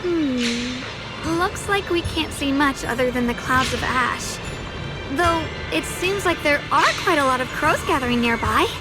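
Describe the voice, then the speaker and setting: An actress voicing an earnest, gentle young female swordmaster in a video game, with loud music behind her. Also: high voice, unhurried, poor audio. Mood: pensive, uneasy.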